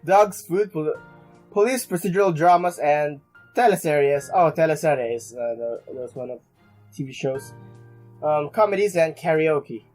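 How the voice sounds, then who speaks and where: Higher-pitched young man, halting gamer commentary. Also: a little mellow. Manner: halting, stumbling, rambling, mild swearing. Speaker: let's play gamer. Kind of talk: casual game commentary, reading on-screen text aloud. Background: game music.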